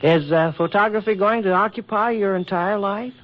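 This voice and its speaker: An actor playing a courtly, earnest government official who woos in stiff, hesitant, formal speech, in 1940s radio drama style. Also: male voice, sits high, normal speed, natural voice. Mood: earnest, wooing. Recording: muffled, noisy 1940s radio recording.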